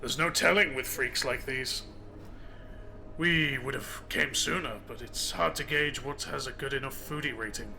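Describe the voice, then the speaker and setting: A man playing a wry, probing, quietly threatening lawman in a noir audio drama. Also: medium pitch, moderate pace, vocal fry, slight background noise.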